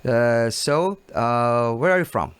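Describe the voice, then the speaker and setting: Guy hosting a live call-in show, broken english, keeps saying very good, middle-pitched voice. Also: expressive, smooth, dry recording. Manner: halting non-native English with repeated phrases.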